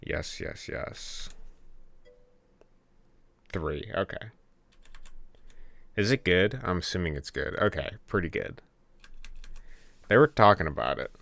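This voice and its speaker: A man's voice: deep voice, animated, takes it slowly, vocal fry, pauses often, a bit bright, no echo. A young man from Michigan, a game developer chatting casually with viewers on a late-night stream, laid-back and chatty. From Michigan, USA. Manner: laid-back, chatty, reads chat aloud. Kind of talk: casual live-stream chat. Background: keyboard typing and clicking.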